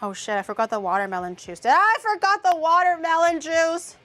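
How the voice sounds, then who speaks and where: A woman's voice: very high voice, expressive, a little fast, a bit bright. A woman VTuber chatting casually while cooking in her home kitchen, blunt and profane, murmuring as she tastes the food. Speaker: streamer and VTuber. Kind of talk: casual cooking livestream chat.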